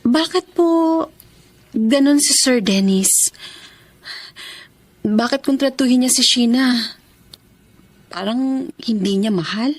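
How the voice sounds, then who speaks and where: An actress playing a caring, deferential young nanny in a Filipino radio drama, soothing and polite, with a Filipino accent. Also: female voice, fairly high pitch, takes it slowly, long pauses. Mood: hesitant, respectful.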